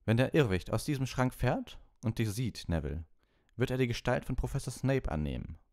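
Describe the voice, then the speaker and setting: A man's voice: medium pitch, big pitch swings, a little fast, darkish tone, voice pushed up. Guy doing a cheery wizard professor for an audiobook, voice lifted up, patient n kindly, really swoopy delivery. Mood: encouraging, instructive. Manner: patient, clear instructing, kindly. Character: cheerful, encouraging male wizard teacher. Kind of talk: animated audiobook character voice.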